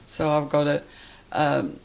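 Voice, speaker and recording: An elderly woman from Poland with a Polish accent, recalling her life in a halting, deliberate way as she is interviewed. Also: deep voice, gravelly, a little mellow, rough recording. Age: 80s or older. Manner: halting, deliberate, searching for words. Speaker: interviewee, life-story witness. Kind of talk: personal reminiscence in an interview.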